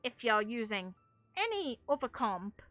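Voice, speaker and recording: A woman's voice: on the high side, big pitch swings, unhurried, fairly bright tone, no room sound, faint music behind. A woman doing casual gaming commentary as a streamer, rambling and opinionated with some casual swearing. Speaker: game streamer.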